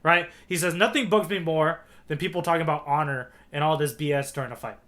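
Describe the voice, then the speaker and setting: A man's voice: on the high side, fairly even intonation, fast, fairly bright tone. A man co-hosting a podcast in casual discussion, often checking in with a questioning "right?" as he makes his points. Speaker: podcast co-host.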